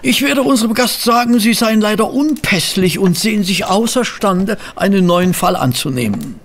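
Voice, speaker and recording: A fussy, loyal gentleman companion in a radio play. His voice sits a little high for a man and moves in lively swings, with formal, old-fashioned phrasing. It has a gravelly creak. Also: normal speed, a little hiss or hum. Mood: flustered, indignant. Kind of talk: German audio drama acting and narration.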